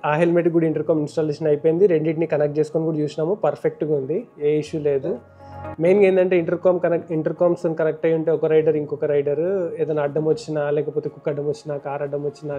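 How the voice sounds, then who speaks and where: A man with a Telangana Telugu accent hosts a casual how-to vlog at a very rapid clip. His voice is fairly high and on the mellow side. He explains each step conversationally, with music behind him. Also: dull audio. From India. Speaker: motovlogger and host. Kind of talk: casual hands-on tutorial vlog.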